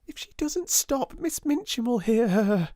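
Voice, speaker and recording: Man doing nervous pleading schoolgirl, high voice, dry. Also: expressive, slow, dark tone, no echo, heavily acted. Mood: fretful. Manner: pleading, fretful. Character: anxious, placating young schoolgirl.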